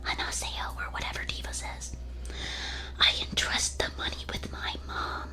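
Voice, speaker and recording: A woman speaks in a soft whisper, right up at an ASMR microphone. She reads aloud like a streamer reacting to listeners, playful and mock-solemn in her delivery. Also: normal speed, poor audio. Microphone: close ASMR microphone.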